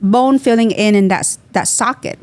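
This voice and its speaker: A woman's voice: a little high, moderate pace, darkish tone, no echo. Earnest woman from Vietnam, dry close sound. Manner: explanatory, earnest, drops small grammar words. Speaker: guest dentist. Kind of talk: podcast interview.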